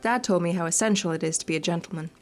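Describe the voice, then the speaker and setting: Low-pitched woman chatting over music. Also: rapid-fire. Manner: chatty, informal, playful. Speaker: gaming video host. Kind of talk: casual let's-play commentary and reading game text aloud. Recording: music playing behind the voice. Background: background music.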